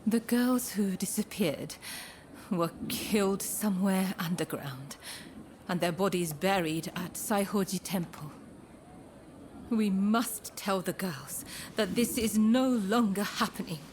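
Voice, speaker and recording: An actress playing a gentle, courteous woman of a Japanese pleasure district in a video game, speaking softly and formally in measured, breathy phrases. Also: medium pitch, a little hiss or hum, close to an everyday voice. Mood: somber, earnest. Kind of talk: scripted dramatic game dialogue. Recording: music behind the voice.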